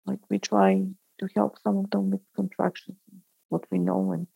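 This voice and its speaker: A woman from North Macedonia who teaches braille, giving thoughtful, measured answers in a panel discussion. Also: mid-range voice, big pitch swings, vocal fry, mellow, husky, no echo, soft background music, rough recording.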